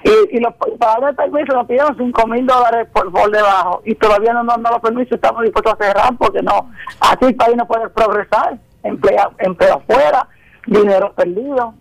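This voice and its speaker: A woman's voice: a little high, fairly quick, vocal fry, poor audio. A middle-aged woman phoning a radio show, speaking spontaneously to make a complaint.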